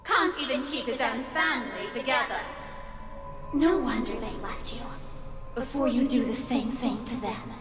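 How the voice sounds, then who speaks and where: A woman voicing a mocking female monster in a horror visual novel, taunting and sneering with drawn-out jeers. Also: fairly high pitch, lightly acted. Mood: cruel mockery. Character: mocking female monster speaking from mirror shards. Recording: echoing, distant, long reverb, music behind.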